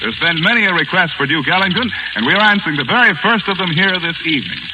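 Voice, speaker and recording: A man announcing on a 1940s radio broadcast in a booming, emphatic, showy manner. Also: animated, quick pace, background noise. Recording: 1940s radio broadcast with loud band music.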